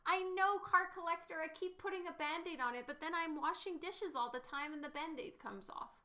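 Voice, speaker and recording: A woman's voice: extremely high pitch, a little fast, pushed and bright. A woman artist casually chatting with stream viewers while she paints, giggling often and answering their questions.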